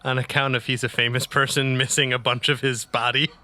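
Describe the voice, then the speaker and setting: A man's voice: mid-range voice, level tone, fairly quick, sharp tone, a little hiss or hum. A man playing a tabletop game, casually improvising his character's actions and lines. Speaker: player in a tabletop role-playing podcast. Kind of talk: casual improvised role-play.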